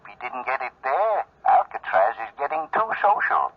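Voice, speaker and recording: A gruff tipster played by a man whose pitch swings wildly. He sounds distant and muffled over a noisy line in an old radio crime drama. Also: sing-song, moderate pace, close to an everyday voice. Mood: sardonic.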